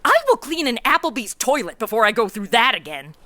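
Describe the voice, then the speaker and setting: A woman's voice: on the high side, big pitch swings, a little fast, pushed and bright. A woman playing a brash, lazy, self-indulgent modern party girl with a sarcastic, whiny manner in a comedy audio drama. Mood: flippant.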